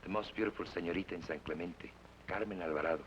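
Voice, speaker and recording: An actor playing a calm, fatherly older Mexican innkeeper who speaks slow and measured, in 1950s radio style. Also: deep voice, natural voice. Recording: dull old radio broadcast with hiss.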